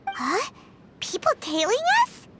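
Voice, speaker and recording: Squeaky, very high tiny fairy. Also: female voice, big pitch swings, slow, noisy background, heavily acted. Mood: startled. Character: tiny, plucky, excitable childlike fairy companion. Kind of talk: video game voice acting. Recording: loud music behind the voice.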